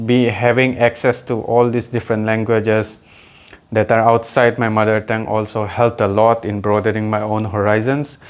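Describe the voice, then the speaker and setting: A man in his thirties from India, a native Adi speaker, talking in a rambling, reflective vlog monologue full of fillers and restarts. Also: fairly low pitch. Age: 30s. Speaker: vlogger.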